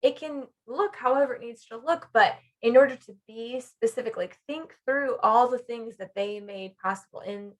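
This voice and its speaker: A woman's voice: on the high side, moderate pace, hardly any pauses. A woman co-hosting a podcast in casual conversation, chatty and giggly, often acting out imagined bits of dialogue. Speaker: podcast co-host.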